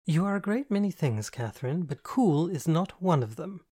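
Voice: medium pitch, expressive, moderate pace, mellow, breathy edge, voice pushed up. A reader voicing a flamboyant, camp young man who speaks grandly and teases his friend, in an audiobook. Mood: teasing. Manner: grand, theatrical, teasing. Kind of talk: audiobook dialogue.

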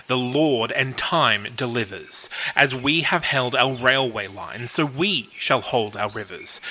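A man's voice: mid-range voice, sharp tone, low-quality recording. A man reading poetry aloud in a recitation style. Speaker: poetry reader. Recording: faint background noise.

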